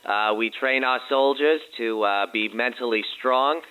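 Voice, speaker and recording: A man playing a halting government press secretary making official statements through a narrow broadcast filter. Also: medium pitch, clean tone. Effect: band-limited archival broadcast filter. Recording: thin, phone-like press briefing tape.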